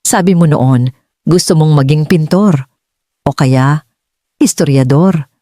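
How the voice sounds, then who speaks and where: A woman with a Filipino accent voicing an earnest middle-aged businessman father in an audiobook, speaking haltingly to his son. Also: low-pitched, mellow, no room sound, clean studio sound. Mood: tentative, nostalgic. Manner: halting, searching for words. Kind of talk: audiobook dialogue.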